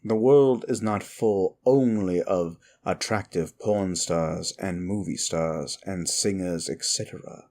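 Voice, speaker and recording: A man playing a wicked, contemptuous immortal demon in an ASMR roleplay, speaking in a theatrical, brooding way with a gravelly voice and deliberate pauses. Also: a little mellow. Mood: brooding, softening. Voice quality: low, gravelly. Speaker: audio roleplay performer. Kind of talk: ASMR roleplay monologue, addressed to the listener.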